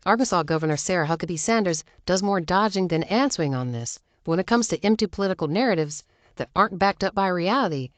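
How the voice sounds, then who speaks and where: A woman's voice: lowish voice, lively intonation, quick pace, no room sound. A woman anchoring with scripted political commentary.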